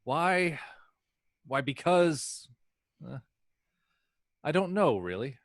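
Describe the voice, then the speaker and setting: A man voicing a gentle, sad, dutiful butler in a script read, formal and consoling. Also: a little high, sing-song, extremely slow, no room sound, clean studio sound, exaggerated voice. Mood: wistful uncertainty.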